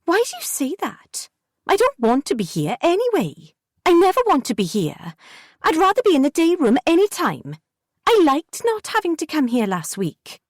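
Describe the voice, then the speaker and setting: This is a sullen young girl, peevish and argumentative, put on by a woman narrator. The voice sits high and swoops up and down. It is recorded dry and close, with no room sound behind it. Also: wildly swooping pitch, moderate pace, no room sound. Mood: sulky.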